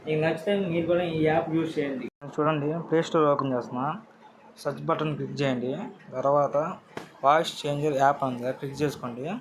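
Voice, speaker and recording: Male tutorial host, Telugu accent, slightly high voice, instructional delivery, clearly noisy homemade audio. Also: steady tone, quick pace. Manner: instructional, directly addressing viewers. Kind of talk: casual step-by-step app tutorial. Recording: noisy amateur recording with ambient noise.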